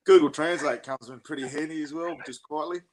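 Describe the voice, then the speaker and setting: A man's voice: a little high, a little fast, crowd noise, low-quality recording. A middle-aged man hosting a podcast, asking rambling questions and joking around. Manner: jokey, chatty, rambling questions. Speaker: podcast host. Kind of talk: casual interview chat.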